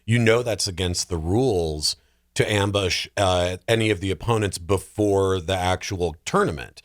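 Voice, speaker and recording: A man's voice: low voice, normal speed. A man joking and wisecracking through a casual film discussion.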